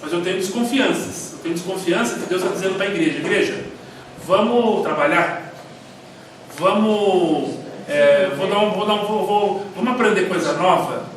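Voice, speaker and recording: A man with a Brazilian Portuguese accent, telling stories in a rambling, animated way while teaching a church class. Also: high-pitched, normal speed. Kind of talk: informal church class talk. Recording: echoey hall, mic far off, faint noise.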